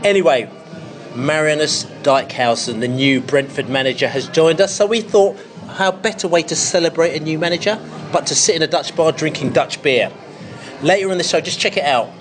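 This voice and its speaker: A man's voice: a little high, normal speed, faint music behind. A man with a London English accent hosting a casual, jokey podcast chat in a pub. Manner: jokey, bantering. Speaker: podcast host. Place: busy pub.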